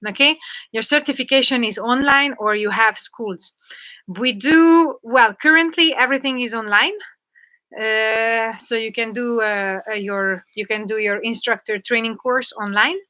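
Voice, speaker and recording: A woman's voice: fairly high pitch, a little slow, bright, forceful tone. A woman with a Hungarian accent, a jump rope instructor leading a live online class, upbeat and coaching, laughing often over a video call.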